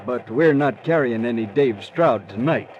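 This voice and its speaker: An actor playing an obliging, unhurried male train conductor in a 1940s radio drama, hesitant and matter-of-fact. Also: medium pitch, expressive, lightly acted. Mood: helpful, unhurried. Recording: muffled vintage radio recording.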